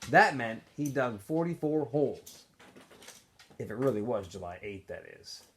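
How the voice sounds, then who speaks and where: A man reading a story aloud as a teacher would, in an expressive, animated narrating style. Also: medium pitch, unhurried, a little hiss or hum. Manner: animated, acts out dialogue in shifting voices.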